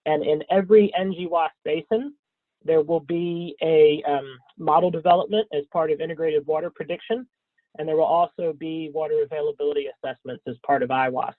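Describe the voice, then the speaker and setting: A man presenting slides in a webinar, scratchy from a cold, speaking haltingly with frequent ums and throat clearing. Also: fairly high pitch, fairly even intonation, dry recording. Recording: thin phone-like webinar audio, dry.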